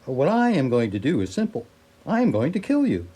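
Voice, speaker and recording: A man playing a menacing, sardonic killer in a radio play, with a husky, dark voice and a deliberate, taunting delivery. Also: on the high side, huge pitch swings, exaggerated voice. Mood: taunting menace. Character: menacing, sardonic male killer toying with his victim. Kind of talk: radio theatre acting.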